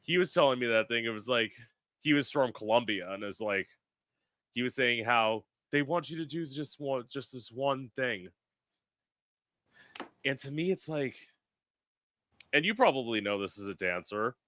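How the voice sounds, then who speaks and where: A man's voice: medium pitch, lively intonation, normal speed, pauses often, a bit bright, dry recording, clean studio sound. A man from South Florida hosting a podcast in casual chat, talking with lots of filler words. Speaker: podcast host.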